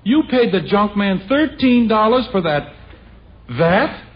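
A man's voice: mid-range voice, huge pitch swings, normal speed, slightly rough. An actor playing a wry, put-upon middle-aged father in a 1950s radio sitcom, sarcastic and exasperated. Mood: bewildered. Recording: dull, noisy vintage radio broadcast.

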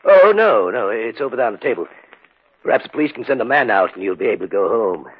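An actor playing a nervous, hesitant, frightened householder who stammers, in a 1950s radio horror play. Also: male voice, on the low side, sing-song, fast talker, slightly rough. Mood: anxious. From United States. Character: nervous, frightened householder guarding a cursed flask. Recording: thin, tinny old radio recording.

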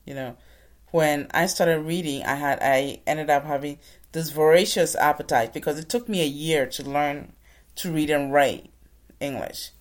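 A woman's voice: sits low, moderate pace. A woman from Ghana giving an inspirational talk, telling her own story in an earnest, preacher-like way. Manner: earnest, preacher-like addresses to the audience. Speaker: motivational speaker.

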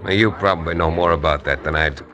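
An actor playing an easygoing frontier lawyer who narrates a 1950s radio western. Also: male voice, deep voice, fast, dull audio, lightly acted. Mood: laid-back. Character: easygoing frontier lawyer, man, dry wit. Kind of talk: 1950s radio drama acting. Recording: old radio broadcast with crowd noise.

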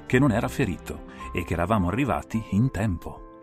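A man's voice: fairly low pitch, expressive, fairly quick. A man narrating an audiobook in a dramatic, theatrical and suspenseful style. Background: faint music and sound design.

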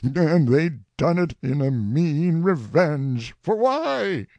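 A high, gravelly old country man, as a male reader puts him on, pours out a raging, anguished confession in a storybook reading. He talks slowly and forcefully in folksy rustic dialect. The sound is dry and close. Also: lively intonation, no echo. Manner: slow, forceful, impassioned.

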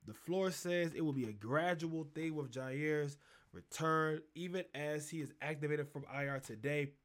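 A congested man hosting a sports talk show in a rambling, profane, chatty way. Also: medium pitch. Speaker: sports podcast host.

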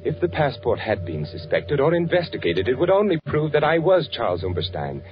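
An actor playing an anxious, secretive man posing as a German traveler, in halting, self-questioning 1940s radio suspense style. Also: mid-range voice, big pitch swings, natural voice. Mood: brooding narration. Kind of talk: 1940s radio suspense acting, confessional first-person narration and dialogue. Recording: muffled old 1940s radio recording with music.

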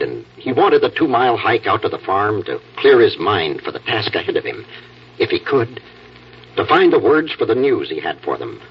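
An American actor playing a fatherly night editor, telling stories in a reflective, halting, dramatic 1940s radio manner. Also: deep voice, breathy edge, full character voice. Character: fatherly newspaper night editor spinning wistful human-interest yarns. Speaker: storyteller. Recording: muffled, distant old radio broadcast.

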